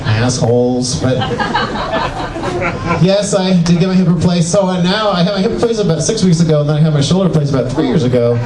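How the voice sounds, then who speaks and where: An older man doing a self-mocking, animated stand-up comedy set in a small venue. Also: fairly high pitch, brisk. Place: small venue with live audience. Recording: echoey, distant club recording with crowd.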